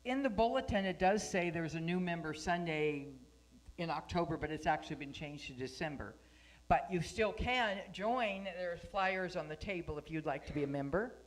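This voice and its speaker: A woman's voice: on the low side, lively intonation, moderate pace, rough recording. A woman giving live spoken announcements to a congregation, speaking at a microphone in a meeting room.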